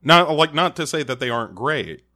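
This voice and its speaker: A man's voice: on the high side, lively intonation, normal speed, pushed and bright. A man co-hosting a podcast, recapping an episode in casual chat with eager, emphatic talk and stammering restarts. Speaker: podcast co-host.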